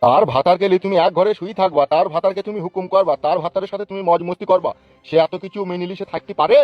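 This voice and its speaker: Casting: male actor as blustering, threatening politician for comic cartoon, highish pitch, very fast, dry lo-fi sound. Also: dry recording, close to an everyday voice. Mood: angry, blustering. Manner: scolding, cursing, blustering. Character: angry foul-mouthed male village politician, bullying and threatening. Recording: dull lo-fi, music behind.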